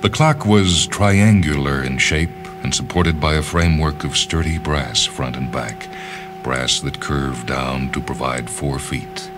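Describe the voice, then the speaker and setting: Man, bottomless bass voice, literary story reading, deliberate phrasing with pauses, faint music underneath. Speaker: narrator reading short fiction.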